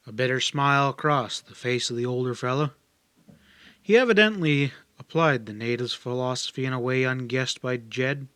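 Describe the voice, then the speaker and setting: A man's voice: medium pitch, normal speed, bright, forceful tone, clean tone, no echo. A man reading scripted story narration as an audiobook narrator.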